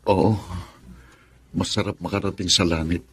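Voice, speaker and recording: An actor playing Crispin, a wistful Filipino man pining for a taken woman, sentimental and given to florid sayings in radio drama style. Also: low voice, steady tone, normal speed, background noise. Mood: wistful, philosophical. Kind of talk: Tagalog radio drama acting. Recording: background music under the voice.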